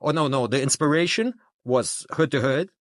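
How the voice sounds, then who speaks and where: A man's voice: mid-range voice, moderate pace, no room sound, studio quality. A man, originally from Russia, talking casually as a guest with lots of restarts and filler phrases. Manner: rambling, many restarts and "you know what I'm saying". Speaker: guest, documentary filmmaker. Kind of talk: casual interview talk.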